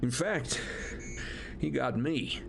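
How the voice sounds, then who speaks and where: A man playing a weakened but defiant young rebel warrior in an audio drama, earnest and expressive. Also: medium pitch, slow pace, vocal fry, a little hiss or hum. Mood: weary.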